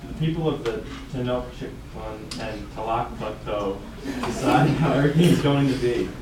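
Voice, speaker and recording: A man's voice: medium pitch, measured pace, close to an everyday voice. A man playing a curious, easily confused schoolboy in a scripted puppet show. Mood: challenging. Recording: very echoey room, distant mic, clear noise.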